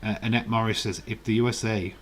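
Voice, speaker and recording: A man with a low, croaky voice rambles through a casual live-stream chat. He reads out viewer comments as he goes, with a faint hiss behind him. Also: little pitch movement, quick pace. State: hoarse, croaky voice.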